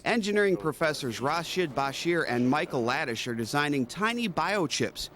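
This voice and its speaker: Lively higher-pitched male newsreel narrator. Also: normal speed. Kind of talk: scripted news narration.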